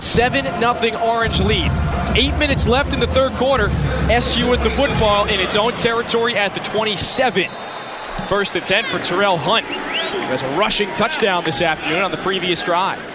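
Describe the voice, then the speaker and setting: High-voiced young American football play-by-play man. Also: moderate pace, over loud music. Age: young adult. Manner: vivid, descriptive, clipped running phrases. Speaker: radio play-by-play announcer. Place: stadium broadcast position, indoor dome. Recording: noisy live stadium broadcast. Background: loud stadium noise.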